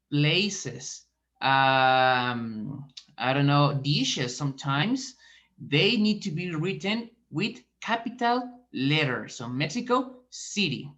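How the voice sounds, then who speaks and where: Slow, patient woman teacher on a call. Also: low voice, fairly bright tone. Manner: slow, encouraging, questioning. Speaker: teacher trainee giving feedback. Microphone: video call. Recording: dull video call audio.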